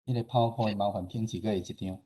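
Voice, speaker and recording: A man's voice: on the low side, steady tone, fast talker, dark tone, slightly rough, rough recording. Taiwanese guy doing an informal talk, explains stuff chattily, bit of husk in the voice. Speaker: radio station director and host presenting a talk. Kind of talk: informal slide presentation.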